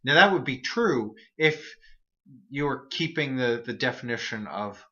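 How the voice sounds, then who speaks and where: A man's voice: mid-range voice, lively intonation, a little slow, bright, forceful tone, dull audio. A man hosting his own video series, explaining reasoning errors in a casual, earnest monologue with frequent restarts and repeated words. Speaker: host of an atheist video series.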